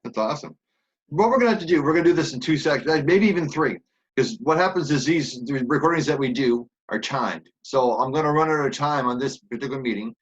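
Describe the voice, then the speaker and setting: A man's voice: mid-range voice, fast. A man hosting a podcast casually over an online call. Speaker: podcast host. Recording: dull lo-fi online call audio.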